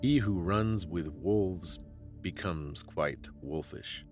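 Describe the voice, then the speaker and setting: A man giving a dramatic scripted story reading as a narrator, solemn and suspenseful, with deliberate phrasing. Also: sits low, over music. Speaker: storyteller and podcast host.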